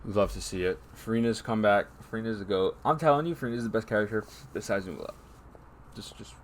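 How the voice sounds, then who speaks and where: A man's voice: fairly low pitch, fast talker, slight background noise. A young man giving casual, slangy, hyped reaction commentary as a streamer over a game. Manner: hype, slangy interjections.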